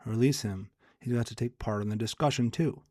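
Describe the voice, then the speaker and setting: A man voicing a clever, bossy rabbit in a fable, brisk and persuasive. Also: medium pitch, a little mellow, no room sound, studio quality, close to an everyday voice. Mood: shrewd.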